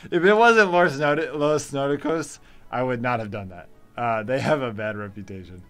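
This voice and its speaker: A man in his thirties talks through his gameplay in a relaxed, joking way. His voice sits in an ordinary middle pitch. Light background music runs underneath. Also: moderate pace. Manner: chatty, playful. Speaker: gaming channel host. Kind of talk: casual gameplay commentary. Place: home recording room.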